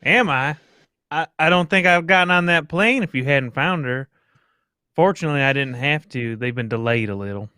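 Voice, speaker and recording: A young man playing a worried, earnest middle-aged father in a radio mystery reading, somewhat halting. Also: fairly high pitch, lively intonation, bright, forceful tone, smooth, no room sound, clean studio sound. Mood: anxious, relieved. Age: young adult. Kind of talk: live reading of old-time radio mystery script.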